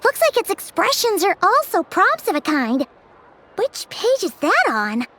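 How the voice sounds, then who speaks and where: A woman voicing a small, childlike female companion sprite in a video game dub, squeaky, exclamatory and easily exasperated. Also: huge pitch swings, a little slow, bright, forceful tone, slight background noise, heavily acted. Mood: focused, reciting. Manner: speaks of herself in third person, exclamatory.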